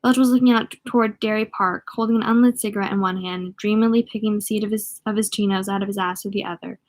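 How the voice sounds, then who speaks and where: A woman's voice: mid-range voice, fairly even intonation, quick pace. A woman reading a novel aloud as an amateur, sometimes tripping over words and going back over phrases. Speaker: amateur audiobook reader.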